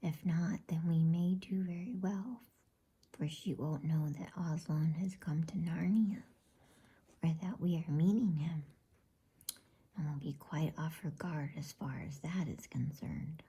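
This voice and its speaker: A woman's voice: low voice, steady tone, unhurried, dark tone, exaggerated voice. Woman whispering as a shrewd motherly beaver wife. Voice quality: breathy whisper. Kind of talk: whispered bedtime storybook reading.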